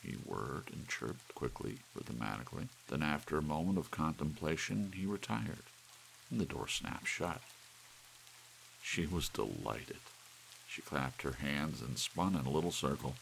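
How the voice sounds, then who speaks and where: A man narrating an audiobook in ASMR style, with soft rain behind him. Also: a bass voice, lively intonation, a little slow, vocal fry, slight background noise, poor audio.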